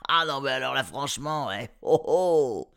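A man's voice: mid-range voice, expressive, unhurried, vocal fry, a bit bright, clear, resonant tone, pitched above the performer's own voice. A man voicing a small, insolent gingerbread boy from a storybook, taunting and boastful. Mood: cheeky taunting. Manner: taunting, insolent, bursts of exclamations.

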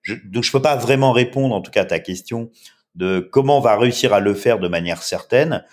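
A man's voice: medium pitch, fairly quick. A man in his fifties, a startup founder answering interview questions in a casual, rambling way with many filler sounds. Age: 50s.

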